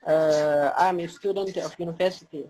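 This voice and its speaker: A man's voice: a little high, steady tone, dark tone, low-quality recording. Hesitant young man. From Somalia. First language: Somali. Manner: halting, hesitant English with many fillers. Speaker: university student, chat guest. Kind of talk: casual video chat.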